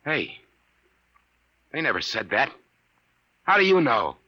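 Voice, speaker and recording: A man's voice: mid-range voice, sing-song, takes it slowly, pushed and bright, heavily acted. A man playing a brash, rattled private detective, slangy and blunt, in 1950s radio drama style. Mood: suspicious. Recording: muffled vintage 1950s radio recording.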